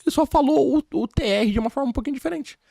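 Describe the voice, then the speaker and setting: A man's voice: very high voice, racing, vocal fry, no echo, clean studio sound. A Brazilian man with a Brazilian accent, hosting a podcast interview and talking emphatically to the audience. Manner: animated, emphatic, addresses the audience directly. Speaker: podcast host and English teacher. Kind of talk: casual interview chat. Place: podcast studio.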